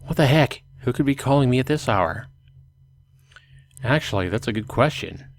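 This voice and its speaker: A man's voice: medium pitch, animated, moderate pace, close to an everyday voice. A man voicing a reluctant, sarcastic and vain young man narrating his life in a visual novel, grumbling and exasperated. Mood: wary, irritated. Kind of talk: visual novel character reading.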